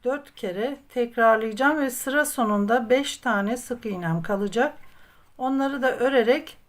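Slow-paced woman giving craft instructions. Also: a little high. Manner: patient, methodical instruction, counting aloud. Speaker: craft tutorial host. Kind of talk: step-by-step crochet tutorial narration.

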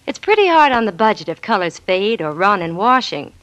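Animated American radio spokeswoman. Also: medium pitch, brisk, rough recording. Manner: instructive, carefully scripted. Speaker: commercial spokeswoman. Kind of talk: 1940s radio advertisement.

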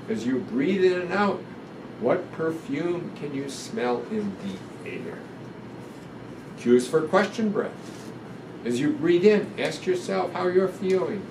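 Slow-paced older man reading, roomy and distant. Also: medium pitch. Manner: gentle, deliberate, instructive, pausing between phrases. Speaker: guest doctor reading a picture book aloud. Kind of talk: children's storytime read-aloud with guided breathing instructions. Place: indoor room in front of a sequined curtain. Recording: roomy, distant pickup with noticeable ventilation hum.

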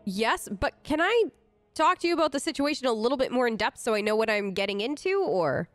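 A woman's voice: fairly high pitch, lively intonation, a little fast, soft background music. A woman doing casual role-play commentary as a let's player, conversational and improvised.

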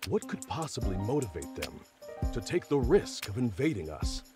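Military general, middle-aged male actor, video game. Formal and dutiful, with lively intonation. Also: medium pitch, music in the background, close to an everyday voice. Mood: measured, serious. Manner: formal, military, measured phrasing. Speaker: army general character. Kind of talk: English video game voice acting.

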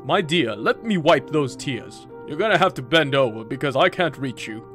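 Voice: medium pitch, animated, moderate pace, a bit bright, faint music behind, close to an everyday voice. A let's player voicing a small, blunt male cat companion from a game, tender yet dry and matter-of-fact.

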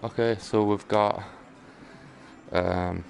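A man's voice: lowish voice, steady tone, slow, vocal fry, a little mellow. A man giving casual live game commentary, muttering and exclaiming to himself in a jokey, self-deprecating way. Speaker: gaming streamer. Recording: noticeable background noise, game ambience.

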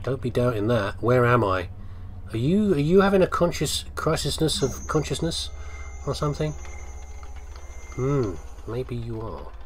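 A man's voice: mid-range voice, lively intonation, a little slow, a little hiss or hum. A man with a British accent giving casual, chatty game commentary, playfully muttering asides as he thinks aloud. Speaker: gamer doing a let's play. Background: video game ambience.

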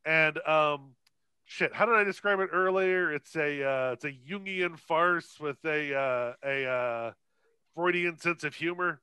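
A man's voice: fairly high pitch, unhurried, sharp tone, smooth, no echo. A man co-hosting a podcast, chatting casually about films in a profane, irreverent way. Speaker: podcast co-host. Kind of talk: casual, unscripted film discussion.

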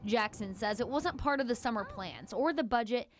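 A woman's voice: fairly high pitch, expressive, moderate pace, a little hiss or hum. A young woman reporting the news in a TV studio, with a polished, articulate delivery. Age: young adult.

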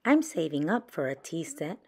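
A woman's voice: sits low, sing-song, normal speed, breathy edge, full character voice. A woman voicing an earnest, trusting young girl badger in a picture book, speaking plainly. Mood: earnest, wistful. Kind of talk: children's picture book read-aloud.

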